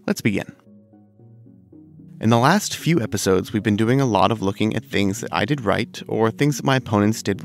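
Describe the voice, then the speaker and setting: A man recovering from a cold, narrating a scripted game commentary in a measured, analytical way. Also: fairly low pitch, gravelly. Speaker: gaming video host.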